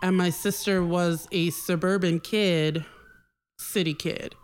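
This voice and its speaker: A woman's voice: on the low side, slow, slight background noise. A woman from Boston, a podcast guest telling stories in casual chat with many "like" fillers. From Boston, Massachusetts. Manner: animated storyteller, many "like" fillers.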